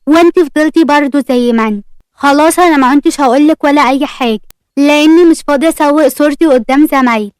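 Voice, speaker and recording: A woman's voice: sits high, fast talker, no room sound, natural voice. A woman voicing a bossy, hot-tempered schoolboy who speaks like a little grown-up, in an audio story. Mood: angry, scolding. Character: stern, possessive young schoolboy, hot-tempered but composed.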